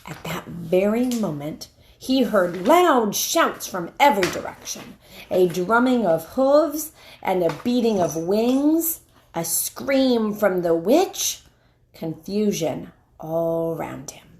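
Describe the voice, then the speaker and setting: Woman, middle-aged, teacher-style storybook reader. Slow, animated, deliberate. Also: medium pitch, a little hiss or hum. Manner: deliberate, pauses to explain to listeners. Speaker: teacher reading aloud. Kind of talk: expressive storybook read-aloud. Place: indoors, wood-panelled room.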